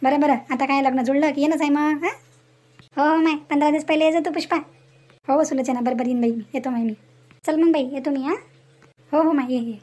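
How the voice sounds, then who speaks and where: Lady doing a bossy village cartoon woman, super high n fast, stubborn, varhadi accent. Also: fairly even intonation, darkish tone, natural voice. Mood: chatty. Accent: Varhadi Marathi. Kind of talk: amateur cartoon comedy.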